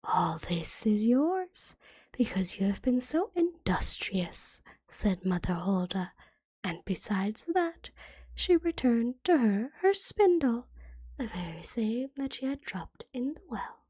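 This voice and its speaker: A woman reading a fairytale as ASMR in a soft, breathy whisper, gently and carefully, like a bedtime storybook. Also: a little high, sing-song, slow, no echo.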